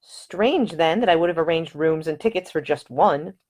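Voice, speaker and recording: Woman voicing a lively, quick-witted young lady. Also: low-pitched. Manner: brisk, quick-witted, playfully dramatic. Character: spirited, witty young woman traveler.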